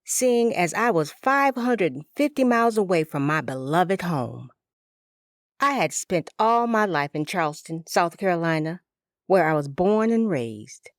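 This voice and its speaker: A woman reading first-person fiction as an audiobook narrator, in an expressive, deliberate storytelling manner with measured phrasing. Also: on the low side, no echo, clean studio sound. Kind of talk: first-person scripted fiction narration of a cozy mystery, in character.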